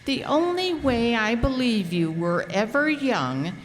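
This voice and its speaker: A curious, teasing little girl , voiced by a woman, with a high, animated voice and unhurried delivery. She questions stubbornly in radio-play fashion, in a reverberant hall recording with faint noise. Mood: teasing doubt. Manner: teasing, matter-of-fact questioning. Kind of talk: stage radio-play acting. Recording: echoey hall, noisy live stage recording.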